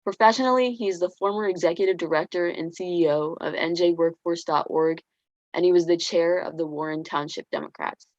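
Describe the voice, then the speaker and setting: Woman emcee, lowish voice, reads a scripted introduction earnestly, dry muffled call audio. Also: a little fast, a little mellow, no room sound. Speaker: event emcee. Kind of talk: reading an introduction.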